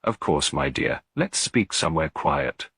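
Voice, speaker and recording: A man voicing a kindly, calm elderly lady detective in an audiobook mystery, gentle and reassuring. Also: extremely low pitch, unhurried, husky, dry recording, studio quality, full character voice.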